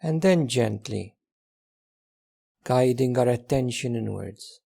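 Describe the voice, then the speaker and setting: Husky, mellow man guiding meditation. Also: medium pitch, animated. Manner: gentle, unhurried instruction with long pauses. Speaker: meditation guide. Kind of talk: guided meditation narration.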